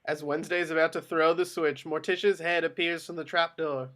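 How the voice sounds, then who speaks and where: A man's voice: fairly high pitch, fairly even intonation, a little fast, pushed and bright. A man reading out screenplay action directions as scripted narration at a table read. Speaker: action-line reader.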